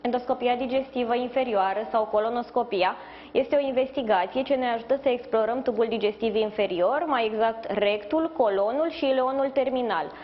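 A woman in her thirties, a doctor giving measured, formal explanations as a guest in a live news interview. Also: fairly high pitch. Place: indoors in a hospital, live video link. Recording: slightly dull sound, faint background noise.

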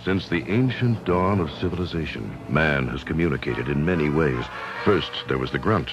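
Deep male mock-grand commercial narrator, deadpan, dramatic swings in pitch. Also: normal speed, over music. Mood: mock-solemn. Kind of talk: scripted radio commercial narration, early 1980s.

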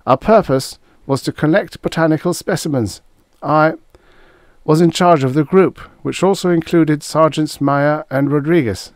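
A frightened young army botanist , acted out by a male audiobook reader, reports in stiff military language. His voice is raised well above the reader's own, nervous and halting, in a dry, close sound. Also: fairly high pitch, no room sound, voice pushed up, natural voice. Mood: tense, formal.

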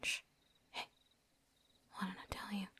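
A woman playing a chatty, cocky, seasoned vampire hunter in a whispered ASMR roleplay, rambling folksily. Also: close to an everyday voice. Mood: hushed, wary. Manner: folksy, rambling, with drawn-out asides.

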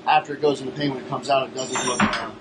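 A man's voice: medium pitch, fairly even intonation, fast talker. An American man in his thirties chatting casually and upbeat as a vlog host in a large workshop, informal and talkative. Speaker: shop vlog host, IT worker. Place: large metal-roofed workshop. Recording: noisy workshop with clear background noise.

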